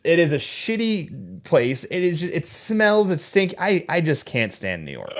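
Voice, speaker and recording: A man's voice: a little high, very animated, measured pace. A man hosting a casual interview, blunt and opinionated, ranting freely about what he dislikes. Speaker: host. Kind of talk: casual video chat interview.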